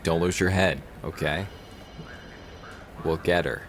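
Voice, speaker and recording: An actor playing a steady, determined police detective in an audio drama, confident and matter-of-fact. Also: male voice, low-pitched, takes it slowly, noisy background. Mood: reassuring.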